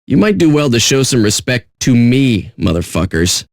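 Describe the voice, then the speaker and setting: An actor playing a surly, sarcastic radio DJ in a video game, with deadpan, contemptuous patter. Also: male voice, mid-range voice, moderate pace, gravelly, no echo, clean studio sound. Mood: deadpan, smug.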